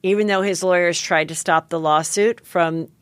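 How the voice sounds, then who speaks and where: A woman's voice: lowish voice, fairly even intonation, moderate pace, a bit bright, clear, resonant tone, dry recording. A woman hosting a podcast, asking rambling, gossipy questions full of "like"s in casual chat. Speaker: podcast host.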